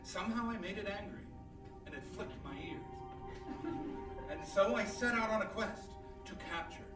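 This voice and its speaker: An actor playing an earnest, melodramatic ghost hunter narrating a mock documentary in a comic radio play. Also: male voice, sits high, lively intonation, normal speed. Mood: solemn, dramatic. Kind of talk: scripted comic radio narration before an audience. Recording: echoey live stage, distant mic, loud music.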